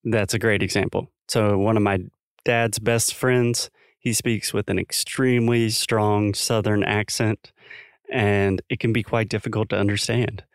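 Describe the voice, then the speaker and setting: Low, dry-voiced man explaining things calmly. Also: measured pace, smooth, dry recording. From South Carolina, US. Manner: thoughtful, explains with examples. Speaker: podcast co-host. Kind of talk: casual educational podcast chat.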